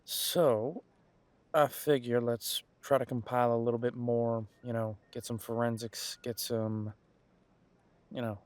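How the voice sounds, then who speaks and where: A man's voice: mid-range voice, flat delivery, unhurried, dry recording, lightly acted. A man playing a casual, wry police detective in an audio roleplay, musing in a conversational, hesitant way. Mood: explaining, thoughtful.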